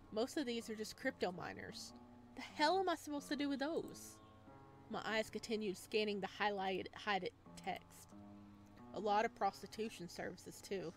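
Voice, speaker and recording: A woman with a mid-range voice hosts a visual novel playthrough. She reads story text aloud and shifts into chatty, informal asides of her own. Music plays softly behind her. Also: medium pitch. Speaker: gaming video host. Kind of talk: visual novel let's play, reading text aloud with casual commentary.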